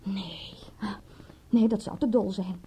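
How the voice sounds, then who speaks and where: An actress playing an agitated, stubbornly disbelieving young woman in a radio drama, emphatic and sometimes dropping to a breathy murmur. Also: medium pitch, slow, dark tone. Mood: incredulous arguing.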